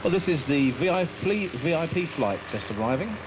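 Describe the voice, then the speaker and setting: A man's voice: on the high side, very animated, brisk. A man giving casual, unscripted live commentary as an airshow commentator. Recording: loud engine noise, outdoor airfield.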